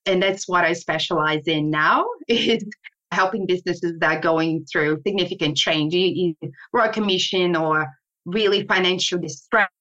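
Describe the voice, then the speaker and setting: A Brazilian woman, a native Portuguese speaker, talking through her career as a guest in a relaxed interview, with restarts and light laughs. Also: fairly low pitch, moderate pace, dull audio. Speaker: guest, board member and consultant.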